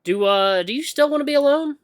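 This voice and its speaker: A man's voice: extremely high pitch, dry recording, studio quality, voice pushed up. A man voicing a young apprentice runner who is flustered, sensitive and sweary, stammering and easily exasperated. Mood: gentle, earnest. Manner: stammering, expressive, quick to get exasperated.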